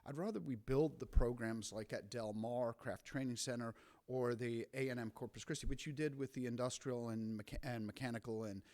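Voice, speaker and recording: A city official, a man, answering a council member in a chatty, lively way with frequent restarts. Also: mid-range voice, brisk. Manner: conversational, animated, frequent restarts. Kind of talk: formal meeting remarks. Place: council chamber.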